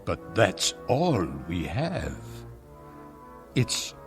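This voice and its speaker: A man's voice: sits low, huge pitch swings, drawn-out words, exaggerated voice. An actor in a radio drama playing a weary, earnest old husband, hesitant and emotional in his speech. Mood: pleading. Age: older. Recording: long hall reverb, music underneath.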